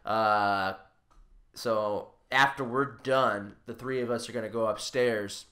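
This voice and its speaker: A man's voice: fairly low pitch, steady tone, takes it slowly, pushed and bright. A man co-hosting a podcast, talking in a casual, informal and joking way. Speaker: podcast co-host.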